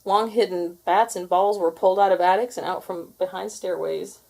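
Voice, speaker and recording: Lady reading a kids book out loud, kinda low voice, expressive storytelling. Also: moderate pace, vocal fry. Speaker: storybook reader. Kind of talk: expressive scripted narration for children.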